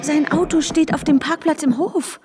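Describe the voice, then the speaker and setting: An actress playing an anxious, insistent woman searching for her vanished lover, urgent and often hushed, in a radio drama. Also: high voice, fairly even intonation, moderate pace, music in the background, lightly acted. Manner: urgent, questioning, often dropping to a hushed whisper. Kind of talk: radio drama dialogue and intimate first-person narration.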